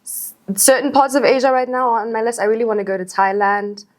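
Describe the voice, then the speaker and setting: A woman's voice: a little high, fast. A young woman with a South African accent telling stories casually as a podcast guest, often repeating phrases for emphasis. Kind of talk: casual conversational storytelling.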